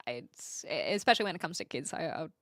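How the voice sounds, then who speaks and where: A woman's voice: deep voice, animated, fast talker, fairly bright tone, no echo, clean studio sound. A young woman streamer in her twenties, from Australia, chatting casually and rambling as she reads out viewer comments, often giggling.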